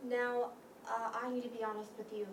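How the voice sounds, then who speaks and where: A high-voiced young woman played by an actress in a play reading, casual, frank and a little hesitant. She sounds distant in an echoey room with faint noise. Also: level tone, a little fast, natural voice. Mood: uneasy, frank.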